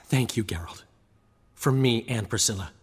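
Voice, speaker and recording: An actor playing a hopeful, flamboyant bard in a video game, with a husky voice and a chatty, expressive delivery. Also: male voice, mid-range voice, moderate pace, big-room sound. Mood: earnest, grateful.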